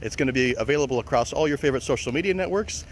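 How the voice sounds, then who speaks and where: A man's voice: medium pitch, racing, slight background noise. A man giving an informal, enthusiastic promotional message as a series creator.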